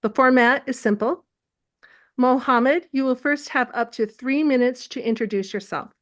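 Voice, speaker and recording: A woman's voice: sits high, normal speed. A woman who chairs a community council, moderating an interview and reading a scripted introduction.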